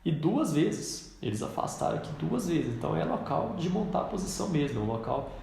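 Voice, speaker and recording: Gravelly man with a cold, echoey room. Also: a little high, very animated, fairly quick, darkish tone, a little hiss or hum. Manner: casual, explains while pointing at a chart. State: congested with a cold. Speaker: trading instructor. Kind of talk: screen-recorded trading lesson.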